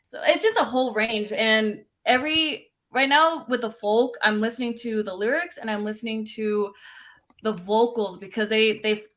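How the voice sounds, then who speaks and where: A young woman chats as a singer-songwriter guest on a talk show. Her voice is bright and sits a little high, and she talks in a bubbly, friendly way with frequent laughs. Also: normal speed. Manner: bubbly, chatty, laughs often. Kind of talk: casual interview chat.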